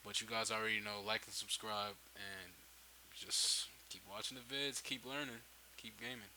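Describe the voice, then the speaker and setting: A man giving a casual, unscripted software tutorial, thinking aloud and backtracking as he explains. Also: fairly low pitch, steady tone, moderate pace, low-quality recording. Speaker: tutorial host. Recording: hissy home microphone, noticeable background noise.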